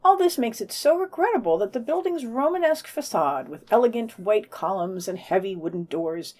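Woman reading podcast news and quotes, wry, really swoopy lively intonation, mid pitch. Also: moderate pace. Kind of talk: scripted narration with read quotes and brief commentary.

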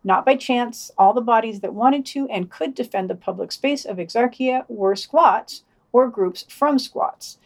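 A woman's voice: fairly high pitch, measured pace. A woman co-hosting a podcast, reading out a news digest with dry, wry asides. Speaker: podcast co-host.

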